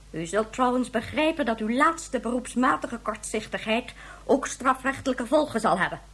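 A stern, husky female leader. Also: sits high, a bit bright, heavily acted. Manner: formal, cutting, authoritative. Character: stern, reproachful female government leader of space colony. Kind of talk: Dutch radio drama acting.

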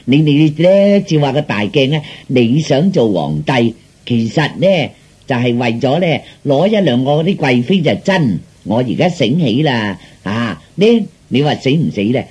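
Man as a nagging, husky middle-aged wife. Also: medium pitch, very animated, unhurried, darkish tone. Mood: scolding. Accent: Cantonese. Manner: scolding, complaining, emphatic. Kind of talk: old Cantonese comic radio play.

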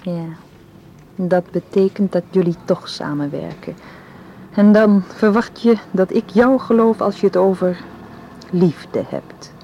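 A woman's voice: medium pitch, slow, creaky, dark tone, lo-fi sound, a little hiss or hum. An actress in a Dutch radio play, playing an anxious, guarded woman who speaks hesitantly and earnestly. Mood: suspicious. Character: anxious, guarded woman distrustful of her male suitor.

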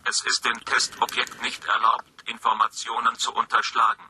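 An actor playing an impassive, formal psychiatric testing machine in a science fiction radio play, speaking in clipped clinical phrases. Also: male voice, mid-range voice, very animated, moderate pace, gravelly, sharp tone, a little hiss or hum, exaggerated voice.

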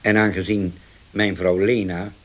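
An actor in a Dutch radio play as a scheming older uncle, husky, halting and condescending. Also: male voice, deep voice, little pitch movement, fairly bright tone. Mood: deliberate, narrating. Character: scheming older uncle, pious-sounding, domineering and deliberate.

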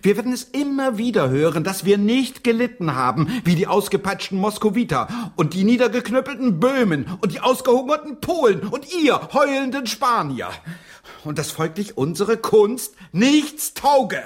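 An actor playing a proud, prickly English playwright in a radio drama, with a theatrical manner that moves between indignation and brooding. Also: male voice, high-pitched, sing-song, moderate pace. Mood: indignant. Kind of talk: radio play acting.

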